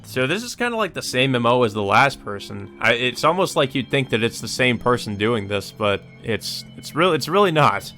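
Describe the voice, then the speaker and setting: A man's voice: mid-range voice, big pitch swings, moderate pace, hardly any pauses, sharp tone. Guy doing a visual novel let's play, mid voice, casual asides, music behind him.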